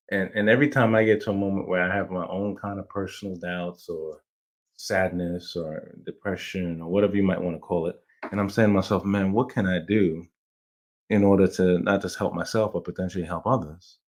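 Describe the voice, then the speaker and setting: A middle-aged man from Jamaica who grew up in New York talks as a guest on a podcast. His voice sits on the low side, and he rambles with eager restarts as he tells stories from his youth. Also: fairly even intonation, fairly quick. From Jamaica, raised in New York. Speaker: playwright, guest. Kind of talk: casual interview storytelling.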